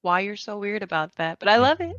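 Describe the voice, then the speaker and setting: Female podcast guest, very bright voice, giggly casual chat, close dry sound that is slightly dull. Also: mid-range voice, very animated, brisk, dry recording. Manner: giggly, playful.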